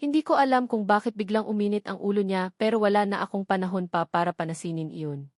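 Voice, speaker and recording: A woman's voice: mid-range voice, fast, a little mellow, dry recording, studio quality. A woman with a Filipino accent reading scripted first-person story narration as an audiobook narrator.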